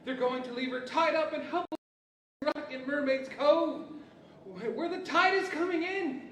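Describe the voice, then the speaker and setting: A man acts a cocky boy adventurer in a live radio play. The voice is extremely high, brash and bossy, giving orders. It echoes through a hall, some way from the microphone. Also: fairly bright tone. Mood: urgent, brash. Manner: brash, bossy, hurried commands. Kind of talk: 1940s radio-theater acting. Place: large library hall, live stage performance. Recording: echoey hall, distant mic, faint noise.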